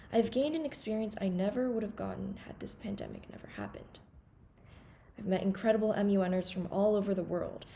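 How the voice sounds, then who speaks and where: A teenage girl from Hong Kong gives a formal opening speech, earnest and measured. Her voice is mellow and dark with a gravelly edge, and a faint hiss of noise sits under it. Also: mid-range voice. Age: teens. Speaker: conference undersecretary general giving a welcome speech. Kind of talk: prepared ceremonial speech.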